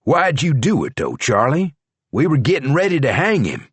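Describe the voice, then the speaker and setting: A sleepy country sheriff, mild-mannered and unhurried, as a male storyteller plays him. His voice stays a little lifted in pitch and dry. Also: wildly swooping pitch, breathy edge, no echo. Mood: puzzled.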